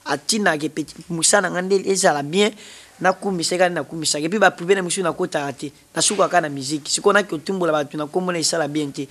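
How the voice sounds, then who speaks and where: A man's voice: a little high, fast talker, fairly bright tone. A young man with a Congolese accent, a musician guest in a TV studio interview, talking animatedly and gesturing with his hands. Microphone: standing studio mic.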